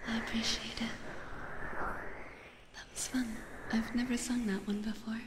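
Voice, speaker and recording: A woman livestreaming ASMR, chatting gently to her viewers in a soft, breathy whisper close to a binaural microphone. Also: a little high, flat delivery, measured pace, background noise. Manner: gentle, chatty, giggly, addressing chat.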